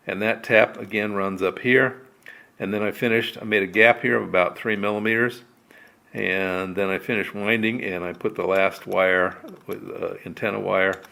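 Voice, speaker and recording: A man giving a casual hands-on DIY tutorial, unscripted and halting, thinking aloud as he explains. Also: fairly low pitch, gravelly, fairly bright tone. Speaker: DIY electronics video maker.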